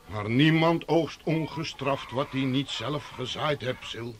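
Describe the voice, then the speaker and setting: A man acting a calm, pious farmer neighbour who speaks in measured, teasing maxims in a 1960s radio drama. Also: medium pitch, background noise, natural voice. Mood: admonishing. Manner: measured, sententious, gently teasing.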